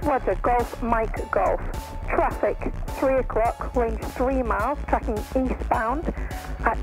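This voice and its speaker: Lady air traffic controller on the radio, highish creaky voice, music underneath. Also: takes it slowly, poor audio. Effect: aviation radio transmission. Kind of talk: procedural radio phraseology.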